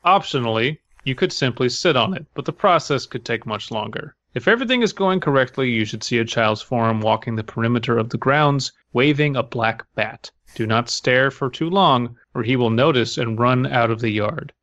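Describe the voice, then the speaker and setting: A man's voice: mid-range voice, moderate pace, hardly any pauses, a bit bright, no echo, muffled recording. A man co-hosting a podcast, chatting casually and reading stories aloud with wry, grumbling humor. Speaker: podcast co-host.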